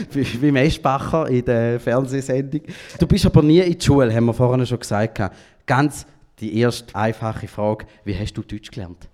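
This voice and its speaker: A man with a Swiss German accent leads a café talk show, speaking in a casual, musing way. His voice sits in a middle pitch and has a dark, mellow tone. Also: moderate pace. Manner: musing, loose conversational phrasing.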